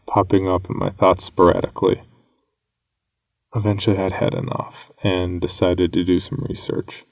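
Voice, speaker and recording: A man narrating a scripted horror story in a grave, measured manner with dramatic pauses. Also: deep voice, vocal fry, darkish tone, no echo.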